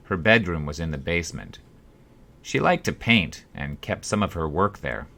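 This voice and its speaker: A man reading his own first-person story as an audiobook, with measured, deliberate phrasing. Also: low voice, lively intonation, creaky, pushed and bright. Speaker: author reading own book.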